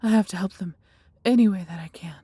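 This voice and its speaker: Husky, breathy woman as a protective dragoness. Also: medium pitch, fast talker, mellow, no room sound, lightly acted. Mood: worried, urgent. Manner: theatrical, intimate, formal storybook phrasing. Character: affectionate, fiercely protective female dragon who becomes human. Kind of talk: scripted fantasy audio roleplay acting.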